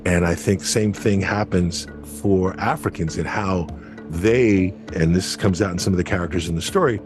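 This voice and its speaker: A man from the United States giving measured, scholarly commentary in an analytical podcast discussion. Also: low voice, over music.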